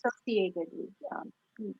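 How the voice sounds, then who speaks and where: A woman's voice: mid-range voice, a little slow, breathy edge. A woman making a point in a casual online group discussion, heard through muffled call audio. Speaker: meeting participant.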